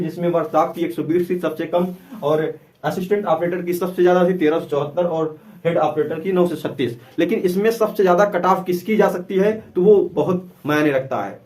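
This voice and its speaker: A man's voice: high-pitched, a little mellow. A man giving an informal, instructive explanation to viewers, often repeating himself and urging them to pay attention. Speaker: exam-prep YouTube presenter.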